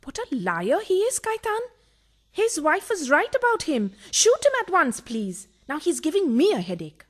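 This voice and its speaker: A woman plays a scheming mistress in a radio farce. Her voice is very high and swoops up and down theatrically. She coaxes and plots with relish, and is easily thrilled. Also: very animated, moderate pace. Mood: impatient, menacing. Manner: coaxing, theatrical. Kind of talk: farcical radio play acting.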